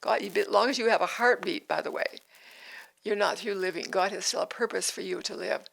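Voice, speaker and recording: A woman's voice: medium pitch, fairly quick, gravelly, a bit bright, poor audio. A woman in her eighties, hosting a devotional podcast in an earnest, repetitive way with frequent restarts. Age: 80s. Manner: earnest, repeats phrases, restarts sentences. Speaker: podcast host.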